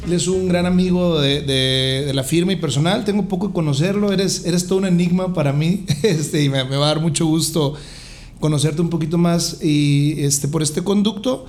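Mexican guy hosting a chatty podcast, kinda high voice, jokes around, jovial. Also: normal speed, darkish tone, faint music behind. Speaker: podcast host. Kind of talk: casual interview chat.

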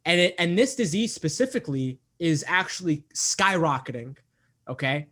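Earnest young man, slightly high voice. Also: expressive, moderate pace, bright, forceful tone. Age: 20s. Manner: earnest, repeats himself, many fillers. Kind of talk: casual interview talk.

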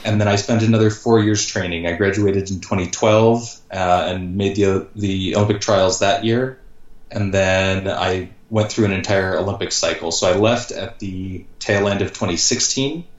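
Low-voiced man telling his story in a roomy, dull recording. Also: normal speed, distant mic. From born in Canada, grew up in Georgia, USA. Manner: relaxed, rambling with fillers. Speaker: podcast guest. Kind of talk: casual storytelling in an interview.

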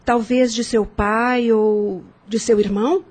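An actress in a radio drama playing a kind, inquisitive, hospitable lady of the house who is gently insistent. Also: on the high side, steady tone, takes it slowly, breathy edge.

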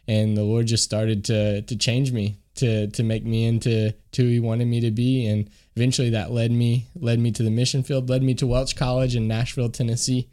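Earnest man with a flat, mellow voice. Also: medium pitch, normal speed, few pauses. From Kentucky, USA. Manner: earnest, sincere, with frequent restarts. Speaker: missionary guest. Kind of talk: personal testimony in an interview. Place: studio.

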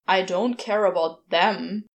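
A woman's voice: fairly low pitch, slow, pitched below the performer's own voice, poor audio. A woman voicing a grumpy, guarded male character in a story, terse and grudging but caring. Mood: grumpy, worried.

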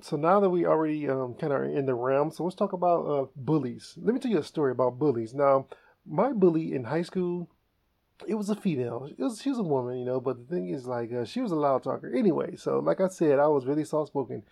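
A man hosting a comedy podcast, telling stories in a casual, animated way and laughing often. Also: on the high side, fast, gravelly. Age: adult. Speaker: podcast host.

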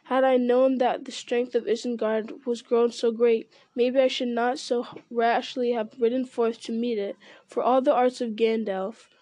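A woman reading aloud voices a weary, doubtful old warrior king, speaking in a grave, measured way. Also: on the high side, little pitch movement, mellow, dry recording, close to an everyday voice.

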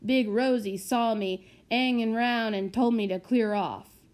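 A woman's voice: fairly high pitch, steady tone, measured pace, a little mellow, natural voice. A woman voicing a grumbling young boy who drops his h's.

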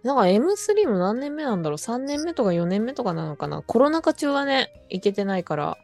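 A woman's voice: a little high, big pitch swings, fairly quick, darkish tone. A woman co-hosting a casual chat among friends, explaining things in a conversational way. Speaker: podcast co-host and writer in an amateur voice drama group. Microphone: remote call recording. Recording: background music under a remote chat.

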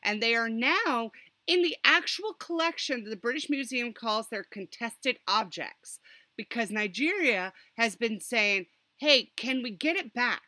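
A woman hosts a casual history chat in a bright, forward voice. She rambles animatedly through her stories and drops sardonic asides. The sound is dry, with very little room in it. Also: a little high, lively intonation, unhurried, no room sound. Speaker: podcast host.

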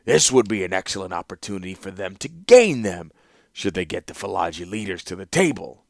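Man playing a gruff deliberate warlord, lowish voice, lively pitch, dry sound. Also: no echo, exaggerated voice. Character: cunning middle-aged male warlord and ruler.

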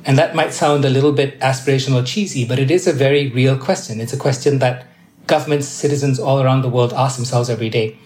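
A man in his fifties from Malaysia, a development adviser explaining ideas in a measured, thoughtful way as a podcast guest. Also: mid-range voice, darkish tone. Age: 50s. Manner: measured, thoughtful, occasional restarts. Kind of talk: explanatory interview answers. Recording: echoey room.

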